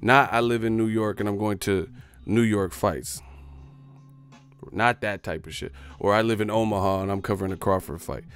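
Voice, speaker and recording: Gravelly boastful man ranting on stream. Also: on the low side, normal speed, a bit bright, faint music behind. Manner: boastful, profane, streetwise slang. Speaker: boxing YouTuber. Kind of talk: livestream rant.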